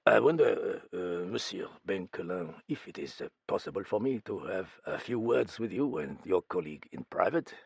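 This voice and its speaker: Theatrical older Frenchman, gravelly and lively, as a male reader puts him on. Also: low-pitched, normal speed, hardly any pauses, no echo, full character voice. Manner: theatrical, mannered.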